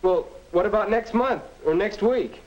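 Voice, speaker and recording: A young man with an American accent playing a confident high school reporter who earnestly preaches advice to a friend in a 1950 instructional film. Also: moderate pace, darkish tone, lightly acted. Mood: earnest, probing. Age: young adult. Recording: dull 1950 film soundtrack.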